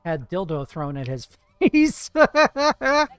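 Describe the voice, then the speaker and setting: Streamer, male, higher-pitched, dry close sound: loose, swearing, teasing chat while he plays. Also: very animated, takes it slowly, clear, resonant tone, no echo, faint music behind. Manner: chatty, profane, playful teasing of chat. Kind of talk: casual live-stream chat while gaming.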